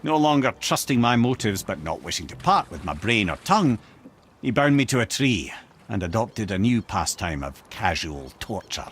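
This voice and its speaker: An actor playing a witty, worldly old counselor with a Scottish accent, telling tales in a wry, eloquent, theatrical manner for a video game. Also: male voice, fairly low pitch, sing-song, moderate pace, fairly bright tone, a little hiss or hum. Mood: rueful. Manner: wry, eloquent, theatrical storytelling with archaic turns. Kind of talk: video game character storytelling. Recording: clear boat and water ambience behind.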